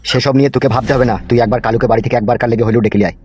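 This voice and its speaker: A scheming cartoon gang boss acted by a man rattles out crude orders and threats at a quick pace. His voice is mid-pitched, with noticeable noise behind it. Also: fairly even intonation, darkish tone, natural voice. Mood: bossy, scornful. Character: scheming, foul-mouthed corrupt politician gang boss, adult man. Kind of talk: comic cartoon dialogue.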